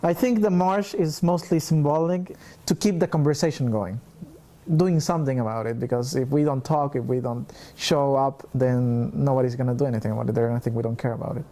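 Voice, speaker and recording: A man in his twenties from El Salvador, a native Spanish speaker, talking in a reflective, soft-spoken way in an interview. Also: mid-range voice, fairly quick. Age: 20s.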